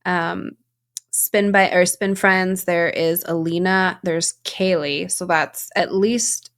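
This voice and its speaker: A woman's voice: fairly low pitch, fairly even intonation, slow, clean tone. A woman from Venezuela whose first language is Spanish, a cycling coach talking as a podcast guest in a direct, upbeat way. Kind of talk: casual interview chat.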